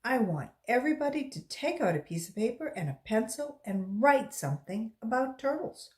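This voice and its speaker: A woman's voice: fairly high pitch, lively intonation, normal speed, a little mellow, natural voice. A woman voicing an earnest, slightly muddled female schoolteacher in a children's storybook, speaking instructively.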